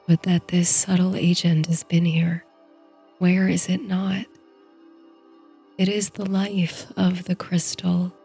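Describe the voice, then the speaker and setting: A woman narrates a bedtime story in a hushed, soothing voice with breathy softness. Her delivery is very slow and even, with little rise or fall in pitch. The tone is dark and mellow, suited to guided meditation. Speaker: host and narrator of a sleep story series.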